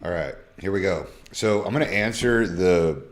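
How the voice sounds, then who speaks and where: Thirty-something guy with a real low voice, casual slangy live stream off his phone, cusses a lot. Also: moderate pace, a little hiss or hum. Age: 30s. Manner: loose, slangy, swears freely. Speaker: social media creator. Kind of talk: casual live stream, reading DMs aloud.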